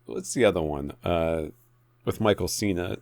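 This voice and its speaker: A man's voice: low voice, moderate pace, creaky, a little mellow, no echo. A man co-hosting a podcast, chatting casually and conversationally about what he has watched. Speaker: podcast co-host. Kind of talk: casual conversational film chat.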